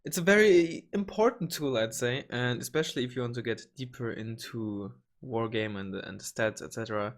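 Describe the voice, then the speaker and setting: Slightly hoarse, rambling young man. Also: mid-range voice, a little fast, few pauses. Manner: rambling, many ums and restarts, casual swearing. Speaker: gaming channel host. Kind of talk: casual screen-recorded tutorial.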